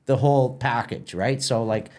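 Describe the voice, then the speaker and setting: A man's voice: medium pitch, moderate pace, darkish tone. A middle-aged man with a Canadian accent, giving a casual interview as a theatre company president, often repeating words as he explains.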